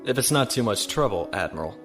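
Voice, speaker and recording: An actor playing a dutiful, cautious space navy lieutenant in an audio drama, formal and deferential. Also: male voice, on the low side, expressive, fast talker, over music, close to an everyday voice.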